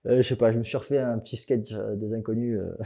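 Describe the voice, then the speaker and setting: A man's voice: fairly low pitch, steady tone, fast talker, vocal fry, dark tone. A young man, a fitness coach, explaining gear in a casual vlog, chatty and joking, with many fillers.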